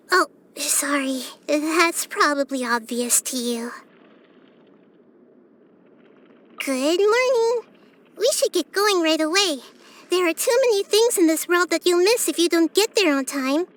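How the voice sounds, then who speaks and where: A woman voicing a wise, gentle, curious child-like goddess in a video game, with a light youthful voice and earnest, thoughtful phrasing. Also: extremely high pitch. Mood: gentle, bright. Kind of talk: video game character voice lines.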